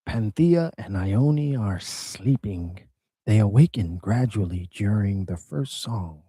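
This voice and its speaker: Guy narrating stage directions, super slow, raspy dark voice. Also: fairly low pitch, lively intonation, no room sound, clean studio sound. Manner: measured reading of stage directions. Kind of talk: dramatic reading of verse drama.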